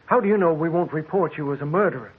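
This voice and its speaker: A man's voice: a little high, animated, fast, husky. An actor playing a frightened male traveler who talks urgently and pleads in a 1940s radio drama. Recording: tinny old radio broadcast.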